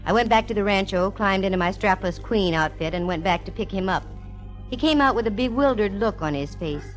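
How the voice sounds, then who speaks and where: A woman's voice: mid-range voice, animated, brisk, natural voice, rough recording. An actress playing a sassy, wisecracking female private eye, with wry, punchy delivery in 1940s radio style. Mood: brisk, wry. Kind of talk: 1940s radio detective acting and narration. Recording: vintage radio broadcast with music behind.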